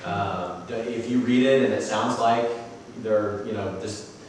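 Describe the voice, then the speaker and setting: A man giving a conference talk and taking questions, with a booming, loud voice and a relaxed, conversational manner. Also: measured pace, slight background noise. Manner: relaxed and conversational, with frequent asides. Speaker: conference speaker. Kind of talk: presenting a talk with audience questions. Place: conference session room. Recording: very echoey room, voice from far off.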